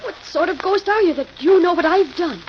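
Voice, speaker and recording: A high-voiced, imperious murderess, cornered and defiant. Her delivery swings wildly in classic melodramatic radio style. The recording is muffled, with clear noise behind her. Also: animated, brisk. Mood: frantic, threatening. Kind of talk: 1940s radio acting.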